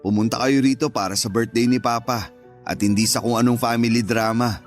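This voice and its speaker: An actor playing a firm but considerate older brother in a radio drama. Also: male voice, mid-range voice, fairly even intonation, brisk, soft background music, close to an everyday voice. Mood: gentle, conciliatory. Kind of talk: Tagalog radio drama acting.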